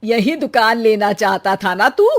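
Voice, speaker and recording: A woman's voice: fairly high pitch, a little slow, lightly acted. Woman doing a shrewd, knowing old mother. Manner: firm and loud, with a patient tone. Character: shrewd older mother-in-law, calm and knowing.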